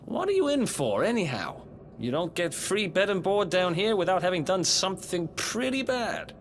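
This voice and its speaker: An actor playing a cheeky, streetwise young prisoner in a video game, with a wry, informal manner. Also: male voice, high voice, big pitch swings, moderate pace, slight background noise. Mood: wry irritation. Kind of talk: video game cutscene acting.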